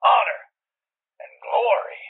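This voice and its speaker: A man playing a haughty, obsequious nerdy military tactician, theatrical and self-assured, in a comedy audio drama over a call. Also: slow. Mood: haughty, grand. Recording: narrow, phone-like remote meeting line.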